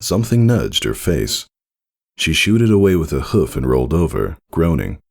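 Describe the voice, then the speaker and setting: Deep, gravelly male story narrator. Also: big pitch swings, moderate pace. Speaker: fan fiction reader, narrator.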